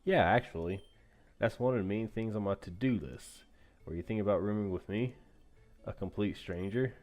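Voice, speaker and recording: A man doing a calm, responsible young student. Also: on the low side, moderate pace, pitched below the performer's own voice, lightly acted.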